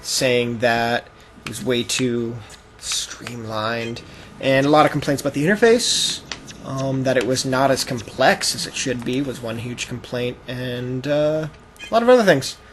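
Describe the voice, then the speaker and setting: A man's voice: mid-range voice, animated, unhurried. A man hosting a gaming podcast, talking casually and explaining at rambling length while a video game is played, sometimes acting out imagined quotes. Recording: noisy amateur podcast recording with game audio. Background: video game sound.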